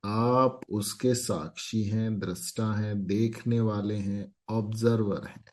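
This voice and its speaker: A man guiding a meditation as an instructor, speaking calmly in short phrases with long pauses. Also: lowish voice.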